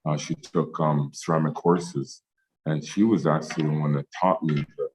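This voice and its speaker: A man from Jamaica speaking as a ceramic artist in an online meetup interview, halting with many fillers and restarts. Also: very deep voice, fairly even intonation, darkish tone, a little hiss or hum, rough recording.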